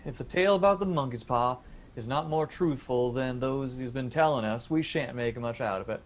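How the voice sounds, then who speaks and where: An actor playing a cheeky, teasing, sceptical young grown son in a radio drama. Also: male voice, medium pitch, normal speed, natural voice. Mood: scoffing, cheeky. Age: young adult. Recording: faint background noise and ambience.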